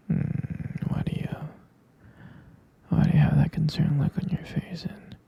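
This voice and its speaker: A man playing a gentle, sleepy half-human vampire boyfriend in an ASMR roleplay, murmuring hesitant, tender reassurance close to the mic in a soft, breathy whisper. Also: fairly high pitch, highs cut off, full character voice. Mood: sleepy, soothing.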